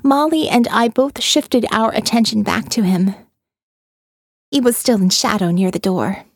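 A woman narrating a first-person audio novel in a measured, intimate way. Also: fairly high pitch, dry recording, studio quality.

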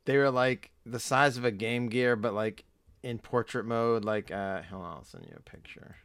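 A man in casual chat, rambling with lots of fillers and swearing, hoarse as he loses his voice. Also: mid-range voice, sing-song, moderate pace, a bit bright, dry recording. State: losing his voice. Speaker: podcast co-host.

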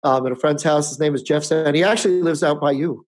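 A man's voice: on the high side, fast. A man in his fifties, co-hosting a casual podcast, talking in a rambling way with many restarts. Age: 50s. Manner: rambling, restarts often, chuckles. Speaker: podcast co-host. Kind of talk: casual chat.

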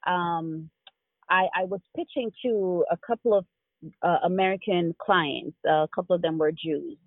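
A woman from Jamaica with a Jamaican accent, telling stories as a guest in a casual interview, with quick laughs. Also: fairly low pitch, dry recording. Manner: animated storyteller, quick laughs. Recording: tinny phone line.

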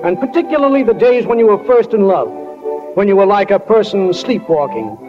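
An actor playing a homespun, wistful stage manager narrating a 1940s radio drama, speaking directly and folksily to listeners. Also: male voice, high-pitched, animated, a little fast. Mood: wistful, folksy. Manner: folksy, direct address to audience. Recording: dull 1940 radio recording with music.

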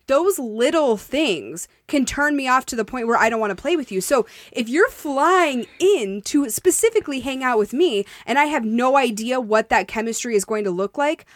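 Podcast co-host, female, blunt and swearing freely, slightly high voice, dry close sound. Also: moderate pace, hardly any pauses, no echo. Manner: blunt, profane, animated. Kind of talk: candid casual chat. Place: Las Vegas.

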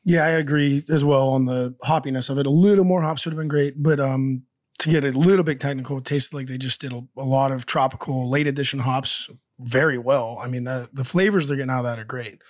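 A man giving a measured, slightly technical take on a beer in a casual chat. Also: medium pitch, fairly even intonation, no room sound, clean studio sound.

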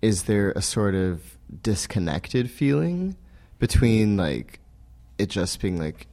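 A woman interviewing someone, asking brief, gentle follow-up questions. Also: extremely low pitch, sing-song, takes it slowly.

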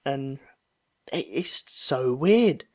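A man's voice: mid-range voice, animated, drawn-out words, a little mellow. A man in his thirties chatting casually and telling anecdotes, acting out the remarks he quotes. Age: 30s. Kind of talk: casual chat, storytelling.

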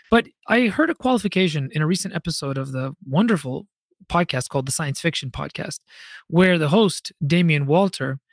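A man with a fairly high-pitched voice hosts a podcast in a very dry recording. He delivers a prepared monologue with conversational asides, addressing listeners directly in an expressive storytelling manner. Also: dry recording. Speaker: podcast host and fantasy author.

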